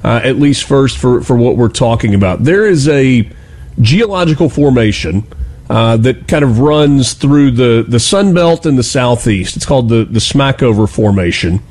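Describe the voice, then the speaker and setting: A man's voice: medium pitch, expressive, normal speed, darkish tone. A man interviewing a guest as host, speaking in a courteous, easygoing and conversational broadcast style.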